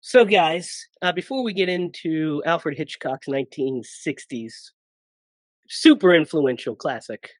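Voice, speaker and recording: A man in his thirties hosting a casual podcast, hoarse from a cold and joking around with his co-hosts. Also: on the high side, unhurried, no echo. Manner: joking, laughs often. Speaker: podcast host.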